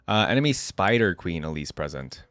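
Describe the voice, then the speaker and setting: A man's voice: low voice, very animated, normal speed, a bit bright. A man hosting a reaction video, commenting casually and musing in an informal way.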